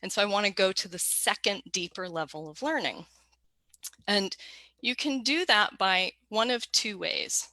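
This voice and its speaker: A woman presenting a dictionary tool, walking learners through a screen in a clear, upbeat, instructional way. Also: medium pitch, measured pace, bright, forceful tone, no room sound. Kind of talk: explanatory screen walkthrough.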